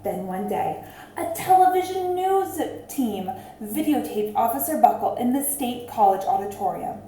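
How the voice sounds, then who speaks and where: Woman, high-pitched, mellow, lively storybook read-aloud for children, teacherly, echoey room. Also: moderate pace, slight background noise. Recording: roomy, echoing sound.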